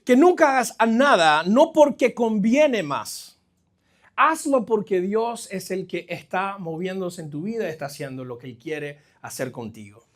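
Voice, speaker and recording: A middle-aged man with a Caribbean Spanish accent giving a conversational, halting sermon as a guest pastor, addressing the congregation directly. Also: sits high, expressive. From New Jersey, of Caribbean family. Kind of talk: live sermon, personal testimony. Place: church, speaking to a congregation.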